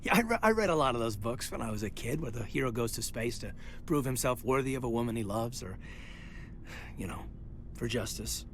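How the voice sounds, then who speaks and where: A man acts as a young male soldier in a space video game. His voice is medium in pitch, earnest and thoughtful, with a hesitant, apologetic edge. A low bed of ambient noise sits behind him. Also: animated, brisk, close to an everyday voice. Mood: earnest, self-conscious. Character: earnest, reserved young male military officer. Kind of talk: video game dialogue acting.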